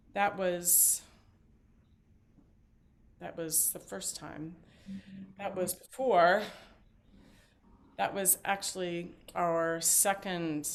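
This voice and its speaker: A woman acting a talkative, evasive and devoted mother in a stage play, with a halting, theatrical delivery. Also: lowish voice, slight background noise. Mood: earnest, devoted. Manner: halting, rambling, theatrical emphasis. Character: talkative, evasive mother and grandmother, fiercely devoted, just paroled.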